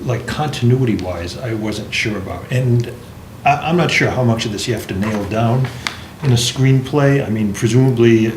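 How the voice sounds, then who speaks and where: A man's voice: medium pitch, moderate pace. A man offering tentative, hedging feedback in a casual group discussion. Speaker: writers' group member. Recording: echoey room, faint noise.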